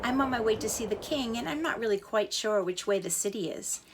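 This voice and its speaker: A woman voicing a squeaky, hesitant little chick. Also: animated, a little fast, slight background noise. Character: pleading little half-chick, timid.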